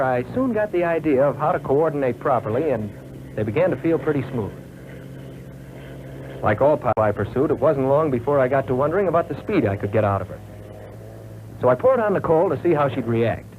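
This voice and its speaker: An actor playing an earnest young American military pilot, recounting his flight training in measured, folksy 1940s training film narration. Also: mid-range voice, lightly acted. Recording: tinny, noisy old film soundtrack.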